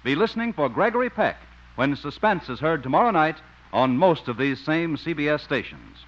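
A man's voice: on the high side, big pitch swings, normal speed. A man reading scripted narration as a 1950s radio announcer, formal and polished. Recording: dull old radio recording with music.

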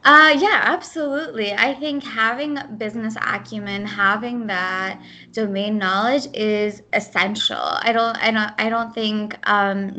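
A woman's voice: mid-range voice, bright, forceful tone. Young woman answering interview questions. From United States (Ohio). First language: Gujarati. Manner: fast, with fillers and restarts. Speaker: guest.

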